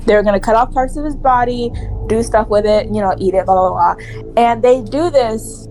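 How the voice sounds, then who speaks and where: A young woman casually retelling a movie to friends in a rambling, animated way, acting out bits of dialogue and swearing. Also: a little high, moderate pace, soft background music, rough recording. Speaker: storyteller.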